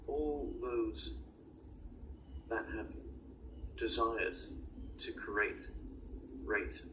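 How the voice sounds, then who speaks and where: A man delivering a spiritual channeling monologue as a guide, in halting, oracular short phrases with many pauses. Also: fairly low pitch. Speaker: channeled guide speaking in a session. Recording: roomy, distant mic with clear noise.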